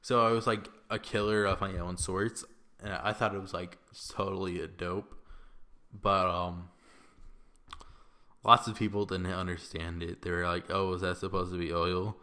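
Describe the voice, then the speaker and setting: A young man with a Midwestern accent works through viewer questions in a relaxed, rambling vlog. He talks slowly in a somewhat low voice, leaning on ums and likes. The room around him is echoey. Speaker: YouTuber. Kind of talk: casual Q&A vlog.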